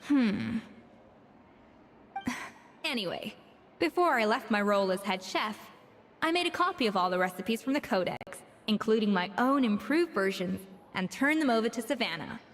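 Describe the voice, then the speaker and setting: A poised, proud head chef, fairly high in pitch and dryly matter-of-fact. She brushes ideas aside with calm certainty. Her game lines carry a long reverb tail. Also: moderate pace, a little hiss or hum. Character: composed female head chef, proud and dry.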